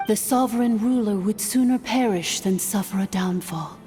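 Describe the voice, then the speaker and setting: An actress playing a solemn ancient dragon sovereign, an artificial intelligence in a video game, speaking formally, gravely and with measured delivery. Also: female voice, medium pitch, dark tone, natural voice. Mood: grave, narrating. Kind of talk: scripted video game voice acting. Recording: long hall reverb with music.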